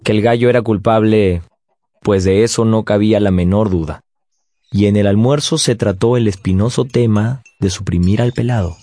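A man acting as a grown son who narrates his family's story in measured, literary radio style. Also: on the low side, warm tone, no room sound, close to an everyday voice. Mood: storytelling. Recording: music under narration.

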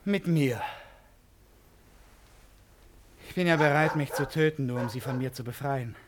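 A man's voice: mid-range voice, a little fast, crowd noise, lightly acted. An actor playing an eccentric, impulsive, obsessive man in a 1950s German radio drama, persuasive and theatrical. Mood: desperate, pleading. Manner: theatrical, swinging from whispers to impassioned outbursts.

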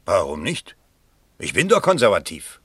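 Blustering middle-aged man of new money, lowish sharp voice, pompous radio drama delivery. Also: wildly swooping pitch, normal speed, slightly rough, no echo, heavily acted. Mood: self-satisfied. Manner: pompous, emphatic. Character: vain, blustering nouveau riche father with political ambition. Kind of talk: German radio play acting.

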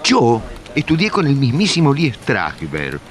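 Pompous, wounded stage actor, swooping wildly. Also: male voice, mid-range voice, wildly swooping pitch, unhurried. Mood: wounded, indignant. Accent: Argentine. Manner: pompous, protesting, theatrical. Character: indignant, vain, wounded male stage actor named Ernesto. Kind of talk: radio drama acting.

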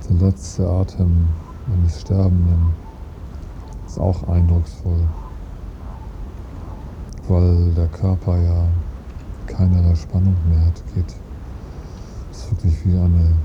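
A man with a deep voice talks slowly and hesitantly in a documentary interview, his delivery almost completely flat. It is a lo-fi field recording with clear traffic noise and outdoor ambience behind him. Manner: slow, searching, hesitant speech.

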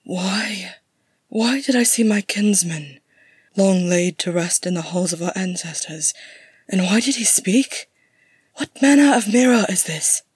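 An earnest male dwarf of a fantasy tale, acted by a woman reader. He speaks with emphatic, archaic formality and awestruck bewilderment. The sound is dry and close, the delivery unhurried. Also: lowish voice, fairly even intonation, dark tone, breathy edge, no room sound. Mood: bewildered.

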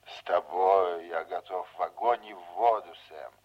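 An older man acting in a radio play as a folksy, scheming veteran politician, unhurried, fatherly and insinuating. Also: sits low, dull audio. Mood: smooth, paternal.

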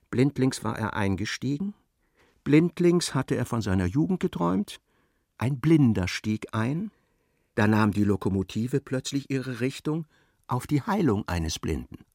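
A man's voice: mid-range voice, creaky, darkish tone, dry recording, studio quality, heavily acted. A man narrating a literary radio play in a dramatic, scripted style.

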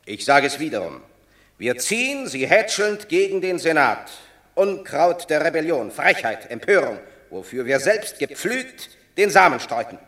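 Proud Roman general, hot-tempered, scornful, very animated delivery, forceful tone, radio drama. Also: male voice, on the high side, a little slow, exaggerated voice. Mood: indignant. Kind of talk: classic German radio drama, stage verse.

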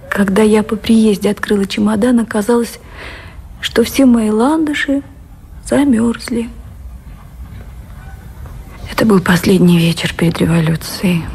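An actress as a woman in love looks back on lost years in an intimate, lyrical radio drama monologue. Her voice is mid-pitched and wistful, and there is clear noise behind it. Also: darkish tone, lightly acted. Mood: wistful, loving. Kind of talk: radio play monologue, letter-like reminiscence.

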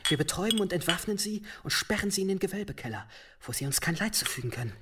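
An actor playing a rational but fearful, scheming monk in an audio drama, persuading in a measured, urgent way. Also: male voice, high-pitched, expressive, heavy background noise.

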